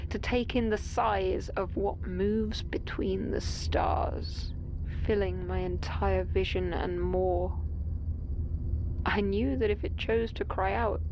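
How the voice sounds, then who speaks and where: A woman playing an astronaut who recounts awe and terror at a vast dark entity in a grave horror audio drama monologue. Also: mid-range voice, poor audio.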